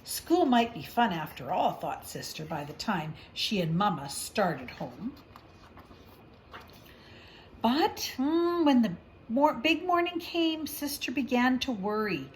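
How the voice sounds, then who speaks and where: A woman's voice: on the high side, very animated, takes it slowly, a little hiss or hum, poor audio. A woman reading a picture book aloud, with chatty asides and questions as if to a young child. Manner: conversational, explaining to a young child, gentle questions. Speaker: storybook reader.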